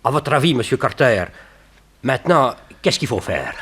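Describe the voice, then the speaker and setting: An actor playing an eager, placating police official in a 1960s French radio serial, with a husky, animated voice. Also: male voice, medium pitch, moderate pace. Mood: deferential, puzzled. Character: eager, reassuring male police official, somewhat complacent.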